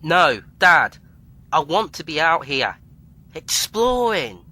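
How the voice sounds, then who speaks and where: A man's voice: on the high side, sing-song, slow pace, exaggerated voice. A man playing a shy, eager young crewman. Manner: hesitant, soft-spoken, then eager. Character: young man, timid, eager son of a commodore. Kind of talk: fan audio drama acting.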